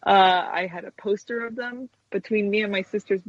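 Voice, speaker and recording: A woman's voice: mid-range voice, fairly quick, no echo, muffled recording. A woman in her thirties chatting casually and enthusiastically as an interview guest. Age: 30s. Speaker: guest, stop-motion animator.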